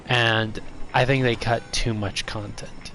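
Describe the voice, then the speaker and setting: A man's voice: mid-range voice, takes it slowly, rough recording. A man streaming a video game, chatting casually and giving his opinions, with a stuffy, congested voice. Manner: relaxed, opinionated, chatty with viewers. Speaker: gaming streamer.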